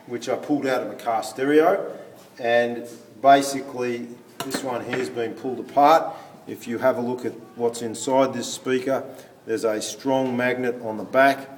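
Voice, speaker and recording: A man explains a physics demonstration step by step as a teacher, haltingly thinking aloud while he handles equipment. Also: medium pitch. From Australia. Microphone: phone camera some way off. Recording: distant phone recording, background hiss.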